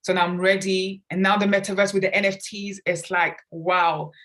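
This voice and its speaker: A woman's voice: medium pitch, flat delivery, moderate pace, fairly bright tone. A woman who grew up in London, speaking earnestly and reflectively as a guest in a conversational podcast interview. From grew up in London, England. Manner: earnest, reflective, talks at length about personal experience. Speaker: guest, children's author and artist.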